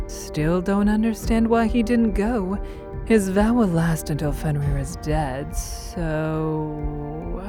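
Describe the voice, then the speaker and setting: A woman playing a confident, shrewd goddess in an audio drama, speaking in a measured, wry and persuasive way. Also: fairly low pitch, fairly even intonation. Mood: wry, probing. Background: background music.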